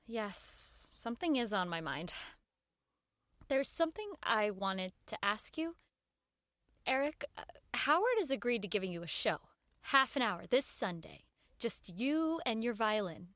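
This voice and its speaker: A woman's voice: fairly high pitch, big pitch swings, a little slow, a bit bright, no room sound, clean studio sound. An actress playing an earnest, nervous woman who works in network radio, in radio drama dialogue and narration. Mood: friendly, hesitant.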